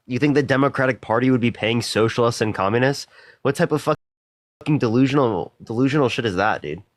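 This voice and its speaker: A man's voice: medium pitch, fairly quick, no echo, studio quality. A man hosting a live stream, talking casually and thanking viewers over and over between debates with callers. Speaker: livestream host.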